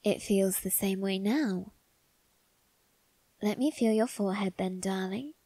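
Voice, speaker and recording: A woman voicing a doting, secretive young male vampire aristocrat in a soft, intimate ASMR roleplay, with refined, coaxing phrasing. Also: medium pitch, slightly rough, dry recording. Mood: gently soothing. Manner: coaxing, gentle, unhurried, refined phrasing with tag questions. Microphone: close, dry microphone.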